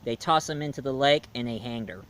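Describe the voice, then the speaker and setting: A man's voice: medium pitch, fairly quick, fairly bright tone, clear, resonant tone. A man reviewing a movie in a casual, rambling way, with fillers and restarts. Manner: rambling, loose, with fillers and self-corrections.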